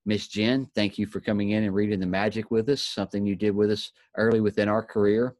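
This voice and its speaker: A man with a low voice leads a motivational group in a folksy, encouraging way. The recording is close and dry, with little room around him. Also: level tone, fast talker, a little mellow, no room sound. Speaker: host of a daily inspirational group.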